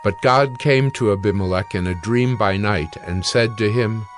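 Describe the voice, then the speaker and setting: A man narrating scripture from a script in a measured, solemn manner. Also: fairly low pitch, soft background music.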